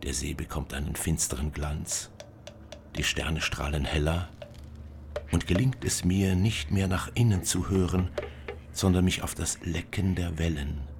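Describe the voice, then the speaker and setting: Male narrator, very low voice, measured poetic reading of literary prose, clear ambient noise behind. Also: steady tone.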